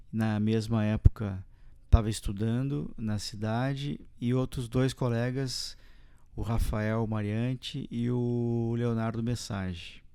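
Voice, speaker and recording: A man's voice: medium pitch, mellow, smooth. A man from Brazil hosting a podcast, chatting casually and sharing his own stories. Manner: friendly, conversational, shares own stories. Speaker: podcast host. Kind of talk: casual interview chat.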